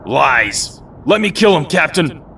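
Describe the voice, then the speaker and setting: A man playing an older, hot-headed and distrustful rebel soldier in an audio drama, gruff and blunt. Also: fairly high pitch, big pitch swings, slow, pushed and bright, slight background noise, full character voice. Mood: angry, distrustful.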